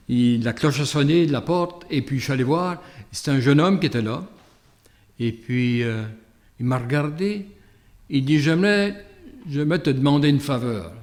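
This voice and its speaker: Canadian French priest giving a sermon. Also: male voice, medium pitch, a little mellow. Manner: reflective, storytelling delivery with hesitations and asides. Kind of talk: gospel reading and conversational sermon with anecdote.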